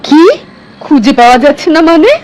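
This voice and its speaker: A woman's voice: high voice, a little fast, darkish tone, close to an everyday voice, poor audio. A woman playing an anxious, protective village auntie in a horror cartoon, worried and insistent.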